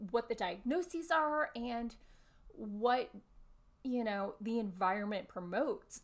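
A woman in her thirties giving an earnest, emphatic explanatory talk to camera, recorded indoors at home. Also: on the high side. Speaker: video presenter summarizing a discussion panel. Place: small furnished room at home, indoors.

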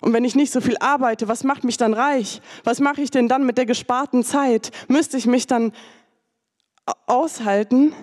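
A woman's voice: a little high, steady tone, normal speed, a little mellow, in a large hall. Young woman doing slam poetry on stage, wry and rhythmic, into a mic stand. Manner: rhythmic, ironic, rising to intense. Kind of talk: spoken word performance. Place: dark stage in a venue. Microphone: stand microphone.

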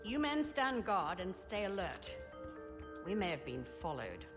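A woman's voice: medium pitch, sing-song, unhurried, over music. An actress playing a cold older female villain in a video game scene, giving imperious orders. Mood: commanding. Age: 60s. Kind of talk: video game cutscene acting.

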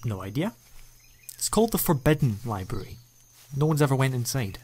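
A man's voice: medium pitch, big pitch swings, a little fast, a little mellow, slight background noise, lightly acted. An actor playing an eccentric, ancient male alien time traveller, enthusiastic and playfully self-mocking, in a fan audio drama. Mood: explaining.

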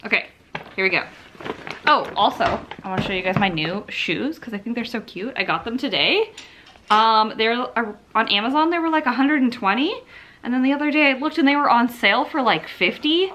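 A chatty woman in her thirties talks through a product haul from her living room, sitting close to the camera. Her voice is bright and crisp, and she keeps an upbeat, casual flow full of filler words. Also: on the high side, expressive, brisk. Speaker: beauty vlogger. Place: living room at home, indoors. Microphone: camera mic, seated close to the camera.

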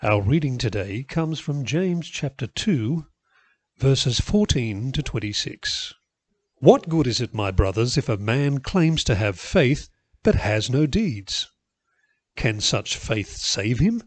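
Male scripture reader, animated intonation, dry close recording. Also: medium pitch, no echo. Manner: expressive, measured reading. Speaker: Bible reader. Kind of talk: scripture reading.

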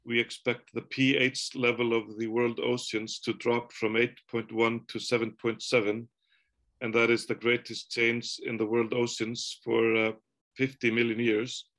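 Native Icelandic man, 40s, webcam call from home; level, even delivery, searching for words. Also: medium pitch. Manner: thoughtful, halting, many restarts. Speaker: author. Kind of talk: video call conversation. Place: home room, indoors. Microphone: video call webcam.

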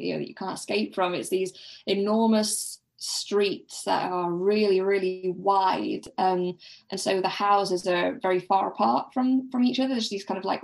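Slightly creaky-voiced woman chatting about books. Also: medium pitch, low-quality recording. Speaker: podcast guest. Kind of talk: casual literary discussion.

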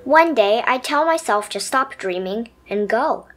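A little pet turtle from a picture book, played by a woman reader, tells its own adventure in a high voice. It sounds earnest, dreamy and easily amazed, with expressive storybook pacing over soft music. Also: measured pace. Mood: dreamy, wondering. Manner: expressive storybook first-person telling.

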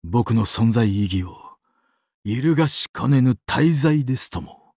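An actor playing a sadistic, weeping angel of doomsday in a mobile game, exaggeratedly polite and dramatic, swinging between sorrow and glee. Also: male voice, medium pitch, expressive, unhurried, darkish tone, husky, dry recording, studio quality. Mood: mournful, menacing. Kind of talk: Japanese mobile game character acting.

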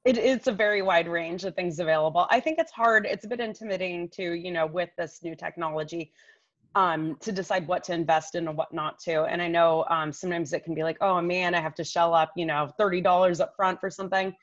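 A woman's voice: lowish voice, steady tone, a little fast. A woman in her thirties giving informal, explanatory expert advice straight to the listener on a video call from her living room. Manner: conversational, explanatory, addresses listener directly. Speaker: image coach giving expert tips. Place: living room at home, indoors. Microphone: laptop microphone on a video call. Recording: slightly dull, roomy webcam audio.

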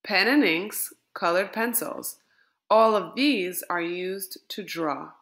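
A woman reading a children's picture book aloud, lifting her voice on each item in a list. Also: mid-range voice. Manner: slow, lilting and expressive, lifting words in lists. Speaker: storybook reader.

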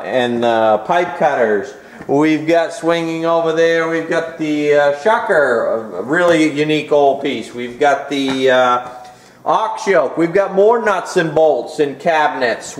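A man with a fairly high voice strolls through a big barn and lists item after item for sale at an unhurried pace. He fills the gaps with "uh". The room rings with a strong echo. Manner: steady listing with frequent "uh" pauses. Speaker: auctioneer previewing auction items. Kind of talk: walkthrough listing items for sale. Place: large indoor auction barn full of tools.

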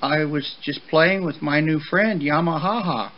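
A man's voice: a little high, unhurried, highs cut off, pitched above the performer's own voice, natural voice. A man voicing a young, eager, easily hurt colt in a children's storybook, boyish and earnest. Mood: meek, pleading.

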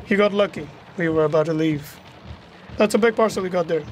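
A man playing a practical, obliging driver in a radio drama, with a casual, brisk manner. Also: sits high, slight background noise, close to an everyday voice.

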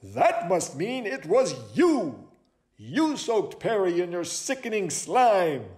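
Storybook owl sleuth, played by a man: self-important, theatrical, high and very animated. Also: slow, exaggerated voice. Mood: excited accusing. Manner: pompous, declamatory. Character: dramatic, self-important, excitable male owl detective. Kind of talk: children's storybook character voice.

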